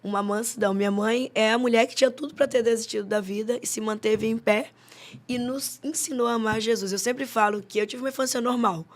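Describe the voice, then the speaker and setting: A woman, a pastor and psychologist, telling her story as a podcast guest with expressive, faith-filled phrasing. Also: a little high, a little fast. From Amazonas, Brazil. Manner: expressive storyteller, warm religious phrasing, laughs often. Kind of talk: casual interview chat.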